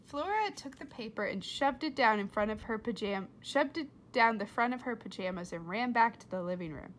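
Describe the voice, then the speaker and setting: A woman reading a story aloud in an expressive, animated and deliberate way. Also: on the high side, slight background noise. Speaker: storybook reader. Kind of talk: expressive read-aloud narration.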